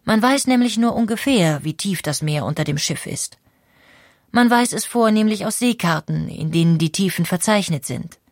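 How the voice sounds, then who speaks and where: A woman reading a scripted documentary narration in a clear, explanatory way. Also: medium pitch, expressive, normal speed, no room sound, clean studio sound.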